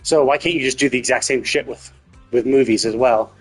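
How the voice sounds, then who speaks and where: A man with a husky, slightly rough voice talks through a game on stream. He circles around his points casually and repeats himself for emphasis. Soft game music plays behind him. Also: medium pitch, brisk. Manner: rambling, repetitive, emphatic musing. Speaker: live streamer playing a story game. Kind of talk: casual gameplay commentary.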